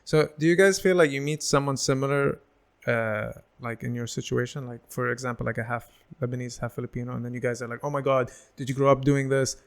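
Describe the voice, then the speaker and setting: A man's voice: mid-range voice, fairly even intonation, fast talker, gravelly. A man as a comedian guest in a casual podcast chat, joking and slipping into mock voices. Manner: playful, slips into mock voices for jokes.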